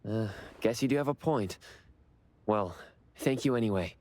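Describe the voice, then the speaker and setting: A man's voice: lowish voice, normal speed, darkish tone, lightly acted. An actor voicing a polite, mild-mannered young man in an anime game, courteous and a little hesitant.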